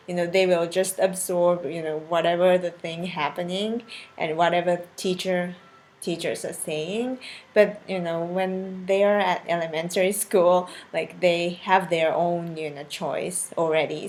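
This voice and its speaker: A woman's voice: lowish voice, poor audio. A woman in her thirties from Japan with a Japanese accent, chatting casually with viewers on a live stream, full of "you know" fillers and laughing often. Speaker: cooking channel host on a live stream.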